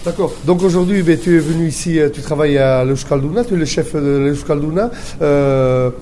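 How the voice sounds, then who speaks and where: Interviewer with a mellow, halting voice. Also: male voice, on the high side, slight background noise. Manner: halting, rephrases questions. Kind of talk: interview questions.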